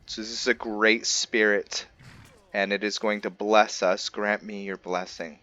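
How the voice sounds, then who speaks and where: A man with a fairly deep voice talks through his game at a very unhurried pace. He is laid-back and casual, musing aloud as a let's-play host does. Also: steady tone, sharp tone, dull audio. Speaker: host of a video game roleplay series.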